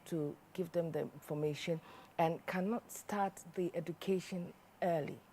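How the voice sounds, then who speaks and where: A woman's voice: lowish voice, fairly even intonation, measured pace, mellow, slight background noise. A woman hosting a studio talk show, closing the episode and addressing viewers directly.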